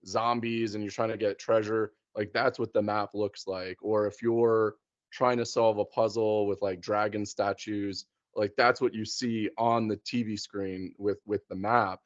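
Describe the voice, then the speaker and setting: A man chatting casually and a bit haltingly, in informal language, on a dull lo-fi recording. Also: on the low side, little pitch movement, dry recording. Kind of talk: casual chat.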